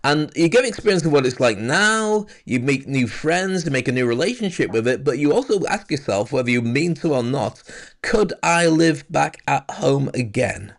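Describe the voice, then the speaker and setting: A man's voice: fairly high pitch, lively intonation, normal speed, creaky. A British man hosting a casual live-stream chat over a video call, reflective and chatty. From Britain. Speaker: host.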